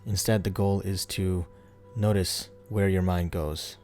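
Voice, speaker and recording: A man leading a guided meditation in a soothing, unhurried, instructional way, leaving pauses between phrases. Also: deep voice, steady tone, darkish tone. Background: background music.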